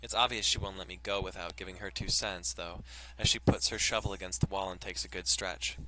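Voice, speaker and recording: A man's voice: sits low, fairly even intonation, fairly quick, background noise. A man hosting a let's play, chatting casually, joking and swearing, and reading visual novel text aloud. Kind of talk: casual gaming commentary with read-aloud narration.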